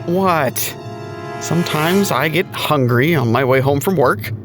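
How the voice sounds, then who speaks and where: An actor playing a casual, deadpan family dad in an audio drama. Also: male voice, a little high, a little slow, over music, close to an everyday voice. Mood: dry, casual. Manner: casual, dry. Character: easygoing, deadpan family dad on a road trip.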